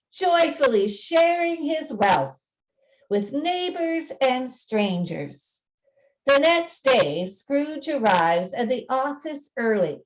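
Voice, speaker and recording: A woman working as narrator and presenter, telling a story in a measured, deliberate way with informal presenting. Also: high voice, slight background noise, poor audio.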